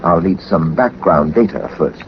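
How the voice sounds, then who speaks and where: An actor playing a suave, methodical gentleman detective in 1940s radio drama style, urbane and theatrical, with wordy, dry self-possession. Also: low-pitched, unhurried. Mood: dryly amused. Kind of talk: 1940s radio drama acting, first-person narration. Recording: muffled, noisy old 1940s radio recording.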